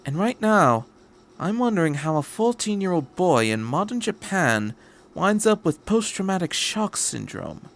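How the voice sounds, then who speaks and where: A man voicing a quirky, cheerful, curious time-traveling adventurer in a story reading, with a brisk, rambling delivery. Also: sits high, very animated, vocal fry, pitched above the performer's own voice, full character voice. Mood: curious, probing. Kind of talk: audiobook-style story reading.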